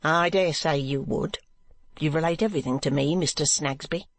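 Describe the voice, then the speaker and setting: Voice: mid-range voice, normal speed, no room sound, lightly acted. A reader voicing a severe, suspicious wife who speaks curtly and coldly. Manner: curt and rigid.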